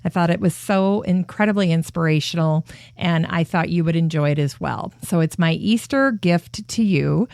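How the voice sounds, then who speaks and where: Minnesotan woman podcast host, lowish voice, eager and effusive, dry close mic. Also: moderate pace, gravelly, hardly any pauses, no echo. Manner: warm, enthusiastic, gushing praise. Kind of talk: podcast intro and interview.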